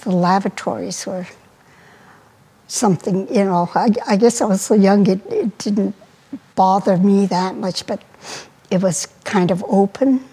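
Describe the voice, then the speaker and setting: An older woman speaks slowly and haltingly, with a breathy edge to her voice. She recalls her childhood in an indoor interview. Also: medium pitch, dark tone, rough recording. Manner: halting, searching for words.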